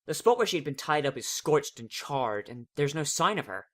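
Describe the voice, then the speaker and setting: A theatrical, expressive reader narrating a fan fiction story in a dramatic audiobook style. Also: mid-range voice, moderate pace.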